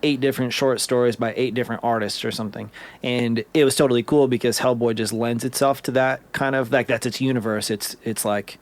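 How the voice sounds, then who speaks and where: A male podcast co-host with a mid-range voice. He rambles animatedly through his thoughts and often goes louder to quote others. His tone is casual and unpolished. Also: mid-range voice, steady tone, a little fast.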